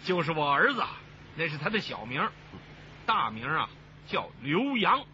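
A man's voice: fairly high pitch, very animated, a little slow, bright, forceful tone, exaggerated voice. An actor playing a hearty, boastful building foreman in a radio drama, with an expansive, folksy, self-assured manner. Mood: proud, worldly. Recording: dull, noisy radio drama soundtrack.

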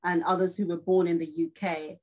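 A woman's voice: on the low side, level tone, fairly quick, darkish tone. A woman interviewer talking thoughtfully and casually, feeling her way tentatively toward her questions. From born in Guyana. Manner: tentative, circling questions with hedges.

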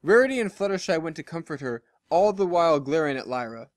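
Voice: medium pitch, sing-song, quick pace, dry recording. A story reader giving a scripted narration of fiction. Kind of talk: scripted narration of fan fiction with character voices.

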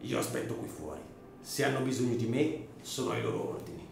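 Man acting a creaky, insistent coachman, very echoey. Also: mid-range voice, animated, a little fast, background noise. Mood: confiding, firm. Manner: insistent, familiar. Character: bold, persuasive young working-class coachman. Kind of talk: radio drama acting. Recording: very echoey room.

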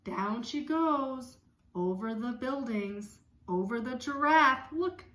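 Female children's educator, thirties: bright, fairly high voice, expressive, simple repetitive phrasing for story time at home. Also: takes it slowly, roomy sound. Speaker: speech-language pathologist leading circle time. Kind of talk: children's songs and read-aloud lesson. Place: small room at home.

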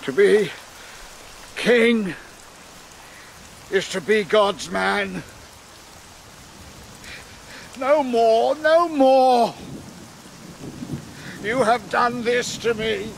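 An actor playing an old, embittered, defeated English king in a historical radio drama, proud and solemn in halting phrases. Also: high-pitched, expressive, vocal fry, slight background noise, full character voice. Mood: bitter, defiant. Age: older.

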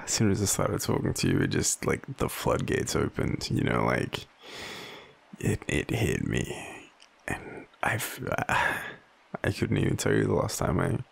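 Young Australian male partner, acted by a man, intimate roleplay. Heavy vocal fry, widely swinging pitch, hesitant, tender and vulnerable. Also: sing-song, exaggerated voice. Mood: tender, nervous. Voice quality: husky, gravelly. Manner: hesitant, halting, soft-spoken confession. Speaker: audio roleplay performer. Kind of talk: intimate boyfriend audio roleplay.

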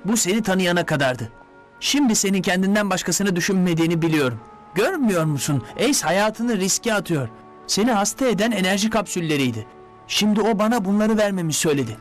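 A man's voice: high voice, lively intonation, fairly quick, faint music behind. An actor playing an earnest, loyal young male teammate in a dubbed cartoon. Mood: reproachful.

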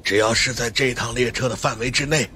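Stern older monk consultant for supernatural audiobook, played by a man; mid-pitched, grave, explaining with authority. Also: steady tone, brisk, pushed and bright, a little hiss or hum, natural voice. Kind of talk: audiobook character acting.

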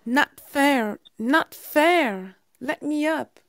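A woman plays a feisty kid sister in a science fiction script. The voice is high and drawn out, with a dry sound. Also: lively intonation, drawn-out words, fairly bright tone, dry recording, exaggerated voice. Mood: indignant. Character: feisty eleven-year-old sister. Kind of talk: screenplay table read.

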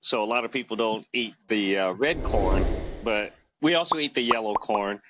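A man's voice: fairly low pitch, moderate pace, highs cut off, noisy background. A man in his fifties chatting as an interview guest, rambling with many fillers and restarts, recorded on a phone held close in a small room. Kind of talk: casual interview chat. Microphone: phone camera close to face.

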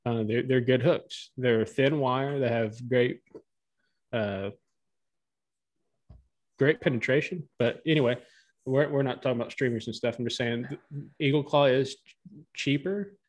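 A man's voice: medium pitch, a little slow, long pauses. An American man co-hosting a podcast in casual, conversational talk. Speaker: fishing podcast co-host. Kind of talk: casual chat. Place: indoor room, on a video call.

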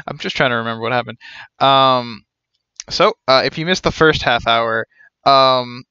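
A man's voice: medium pitch, measured pace, sharp tone, smooth, no room sound, muffled recording. A young man giving casual, rambling live commentary as he streams a game.

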